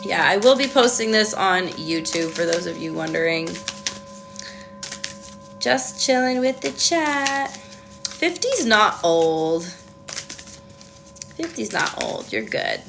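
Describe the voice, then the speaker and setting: A woman's voice: mid-range voice, expressive, slow, creaky, long pauses, music in the background. A Canadian woman doing a casual livestream tarot reading, chatting to viewers and answering their comments with lots of ums. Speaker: tarot reader.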